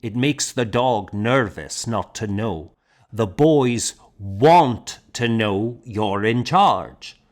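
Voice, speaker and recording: A man's voice: fairly low pitch, animated, drawn-out words. A man reading an audiobook as a hearty, bluff monk schoolmaster, confident, mocking and blunt. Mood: insistent, assured. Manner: blunt, emphatic, self-assured. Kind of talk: audiobook character dialogue.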